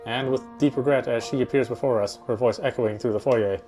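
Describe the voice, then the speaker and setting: A man's voice: medium pitch, fairly even intonation, brisk, over loud music. A man reading first-person story narration aloud in a dramatic way as he plays a visual novel.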